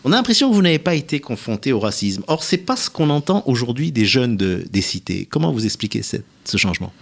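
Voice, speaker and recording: Guy hosting a radio book interview, lots of vocal fry, medium pitch, wordy careful questions. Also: very animated, fast. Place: radio studio.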